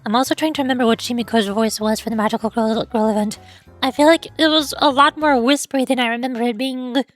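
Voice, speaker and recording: High-pitched streamer girl, voice going hoarse, rambly and self-pitying. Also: fairly bright tone, no echo. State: losing her voice, hoarse. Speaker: VTuber streamer. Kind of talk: casual game stream chat.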